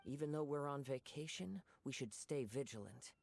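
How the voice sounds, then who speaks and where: A soft, husky, watchful swordsman. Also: mid-range voice, dry recording. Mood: measured, thoughtful. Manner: measured, calm. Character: calm, watchful young wandering swordsman, gentle and observant. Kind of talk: video game voice acting.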